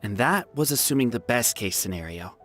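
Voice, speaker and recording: Man playing an excitable young soldier, very lively pitch. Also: brisk, faint music behind, close to an everyday voice. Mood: earnest, concerned. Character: excitable, earnest young male war veteran soldier. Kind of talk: video game voice acting.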